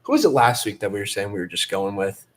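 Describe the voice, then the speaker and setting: A man co-hosting a casual live stream, with a naturally loud voice, reading viewer comments aloud energetically. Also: lowish voice, sing-song, fast talker. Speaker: co-host reading chat comments.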